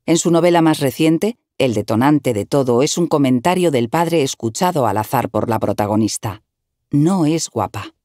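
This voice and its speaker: A woman narrating a written article in an even, measured reading style, recorded close to the mic. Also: extremely low pitch, no room sound.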